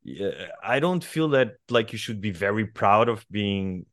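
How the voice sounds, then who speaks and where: A man in his forties from Portugal with a Portuguese accent, speaking as a guest writer in an interview, thoughtful and hesitant, often pausing and restarting. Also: on the low side, big pitch swings, dry recording, clean studio sound. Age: 40s. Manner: reflective, hesitant with many fillers and restarts. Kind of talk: conversational interview.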